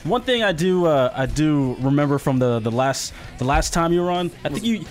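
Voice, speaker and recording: A man co-hosting a casual talk show, joking and laughing often in quick banter, with a slightly nasal voice. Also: a little high, lively intonation, faint music behind. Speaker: podcast co-host. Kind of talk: casual chat.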